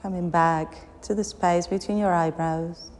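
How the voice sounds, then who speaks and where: A woman guiding a yoga nidra meditation, giving soothing, unhurried instructions with long pauses between short phrases. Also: on the low side, fairly even intonation, a little mellow. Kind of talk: guided meditation. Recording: long reverberant hall, background noise.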